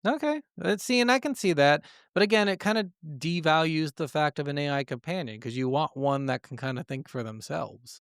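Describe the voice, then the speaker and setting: A man's voice: fairly high pitch, lively intonation, a little fast, few pauses, a bit bright, clean tone, no room sound, clean studio sound. A man co-hosting a gaming podcast, giving blunt, skeptical opinions in casual, sweary conversation.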